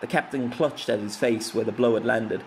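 A man's voice: medium pitch, normal speed, a little hiss or hum, poor audio. A man reading a scripted fantasy story aloud as an audiobook narrator.

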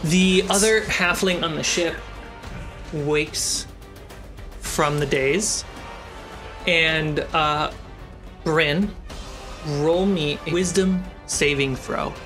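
A woman narrating a tabletop fantasy game as game master, telling the story with dramatic pauses. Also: sits low. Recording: dramatic music under the voice. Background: background score.

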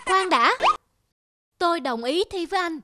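A woman voicing a small, clever young sparrow in a cartoon, speaking with calm confidence and teasing. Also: extremely high pitch, measured pace, heavy background noise. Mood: determined. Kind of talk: cartoon voice acting. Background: loud music.

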